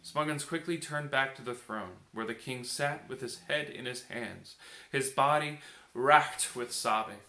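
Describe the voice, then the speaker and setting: A man reading a bedtime story aloud as an expressive storybook narrator. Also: medium pitch, a little slow. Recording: echoey room.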